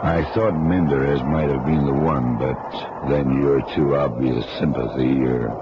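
An actor playing a calm, shrewd detective in a radio drama, composed and dry in manner. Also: male voice, extremely low pitch, a little slow. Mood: cool, defiant. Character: calm, shrewd male blind detective, unflappable. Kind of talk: 1970s radio drama acting. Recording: noisy, dull old radio recording.

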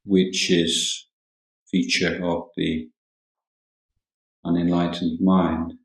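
Very slow, low-voiced man guiding meditation. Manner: calm, measured, long pauses between short phrases. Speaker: Buddhist monk teaching meditation. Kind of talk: guided meditation talk. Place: indoor room at a monastery. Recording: roomy, echoing hall sound.

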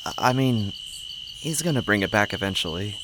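A man's voice: lowish voice, expressive, normal speed, background noise, natural voice. A man playing a nervous, reluctant teenage boy in an audio drama, hesitant and stammering.

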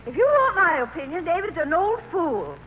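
An actress playing a blunt, outspoken older sister, emphatic, in 1940s radio drama style. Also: female voice, very high voice, big pitch swings, moderate pace, a bit bright, full character voice. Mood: feisty. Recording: noisy old radio broadcast.

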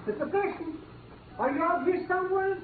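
Very high-pitched woman calling out. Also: normal speed, narrow, tinny audio. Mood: searching. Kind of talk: 1950s radio acting. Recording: noisy, echoey old radio recording, distant.

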